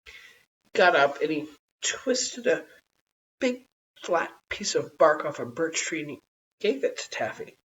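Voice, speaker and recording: A storyteller reading a children's bedtime story aloud in an expressive style. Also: medium pitch, measured pace, pauses often. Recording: dull, hissy, distant home recording.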